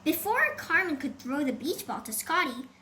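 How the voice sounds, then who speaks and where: A woman's voice: sits high, very animated, normal speed, sharp tone. A woman reading a scripted children's adventure story as an audiobook narrator. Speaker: audiobook author and reader. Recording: faint background noise.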